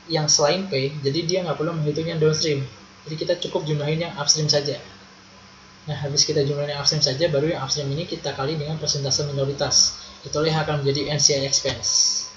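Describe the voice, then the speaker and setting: A man's voice: on the high side, level tone, a little fast. A young man explains things step by step in a casual, conversational tutorial style, posing questions and then answering them. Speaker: accounting tutor. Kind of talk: casual explanatory video lesson. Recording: muffled lo-fi with faint background noise.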